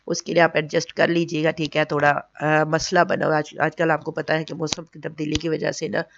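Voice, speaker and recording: Hoarse woman explaining patiently. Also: sits low, flat delivery, normal speed, dry recording, dull audio. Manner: keeps checking in with "okay?" and repeats points patiently. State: sore, hoarse throat. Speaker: online earning tutorial host. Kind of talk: casual screen tutorial.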